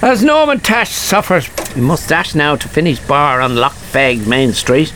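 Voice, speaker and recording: An actor playing a brisk storyteller, narrating a scripted comic audio drama. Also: male voice, on the high side, expressive, loud music behind the voice. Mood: brisk, wry. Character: brisk male storyteller setting the scene.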